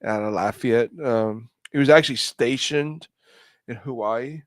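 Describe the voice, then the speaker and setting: A man telling stories casually on a podcast, often halting and searching for his words. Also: mid-range voice, dry recording, studio quality. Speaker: podcast co-host. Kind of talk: casual storytelling on a live podcast.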